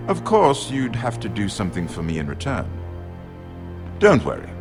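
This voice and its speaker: An actor playing a shrewd, wry noble lord and royal treasurer in a fantasy game, speaking in a measured, worldly way. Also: male voice, lowish voice, wildly swooping pitch, music in the background. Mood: guarded warning. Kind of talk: dramatic video game dialogue.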